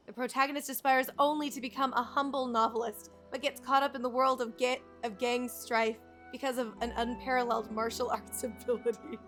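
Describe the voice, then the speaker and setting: Girl streamer w a highish voice, reading game text out loud and chatting casually, game music in back. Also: level tone, a little fast, few pauses.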